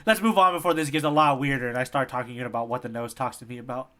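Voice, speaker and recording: A man's voice: mid-range voice, fast, a bit bright. A man co-hosting a podcast, chatting casually in a playful, jokey manner. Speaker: podcast co-host. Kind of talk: casual chat, manga discussion.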